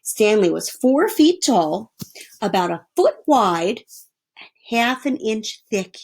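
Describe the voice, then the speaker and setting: Expressive woman reading a storybook aloud. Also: on the high side, slow pace. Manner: explains things simply for young listeners. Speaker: teacher reading aloud to children. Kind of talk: storybook read-aloud with plain asides.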